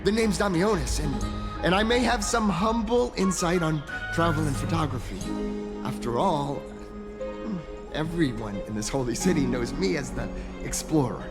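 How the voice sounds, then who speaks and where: A man's voice: a little high, expressive, normal speed. A man playing a friendly, eager young explorer in a video game, chatty and earnest, with a stammer. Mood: cheerful, eager. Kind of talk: video game character dialogue. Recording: loud music behind the voice.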